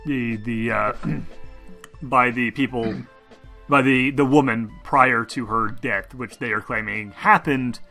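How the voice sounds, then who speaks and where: Man, game master, bright voice, halting casual narration, light background music. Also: medium pitch, creaky. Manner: halting, hedging, sweary. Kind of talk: casual tabletop game narration over a group call.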